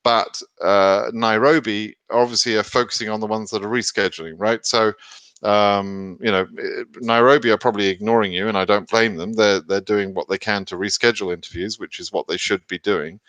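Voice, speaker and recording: A man's voice: lowish voice, steady tone, a little fast, fairly bright tone, dry recording, lo-fi sound. A British man hosting a live question and answer stream, reading viewer questions aloud and answering them casually and frankly, with many ums and restarts. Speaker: immigration advice host answering live chat questions.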